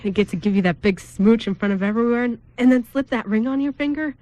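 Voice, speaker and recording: A woman's voice: fairly high pitch, fast, dark tone, dry recording, dull audio, lightly acted. A woman voicing a smitten young fiancé in an amateur radio play, speaking eagerly and sentimentally. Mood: eager, loving. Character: smitten young male fiancé, excited about his wedding.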